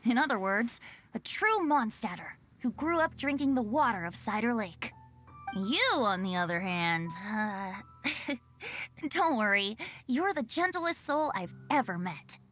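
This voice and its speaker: High boyish game bard, playful and mischievous, unhurried, really bouncy delivery. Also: female voice, lively intonation, fairly bright tone, a little hiss or hum. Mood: fond. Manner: playful, breezy. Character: cheerful, mischievous young male bard, secretly a wind god. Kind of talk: video game dialogue.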